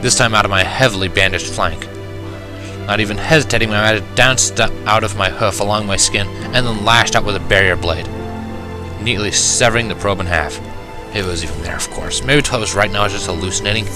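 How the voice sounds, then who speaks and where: A man narrating a first-person fan fiction story in an expressive, wry, dramatic manner. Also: fairly low pitch, fairly quick, music in the background. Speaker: audiobook narrator.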